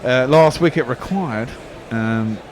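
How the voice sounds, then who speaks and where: A man's voice: medium pitch, slow. A man giving casual ball-by-ball radio commentary on cricket, rambling into anecdotes between deliveries. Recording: background noise.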